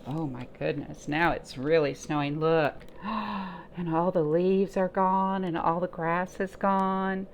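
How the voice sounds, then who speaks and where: A woman's voice: lowish voice, fairly even intonation, slow, a little hiss or hum. A woman leading a library storytime, chatting encouragingly to young children and asking them simple questions as she reads aloud.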